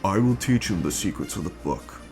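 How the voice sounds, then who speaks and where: A man acting in an amateur dramatic reading as a scheming, menacing villain bent on conquest, speaking in a measured, formal, commanding way. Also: deep voice, animated. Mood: cold, calculating. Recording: music bed under the voice.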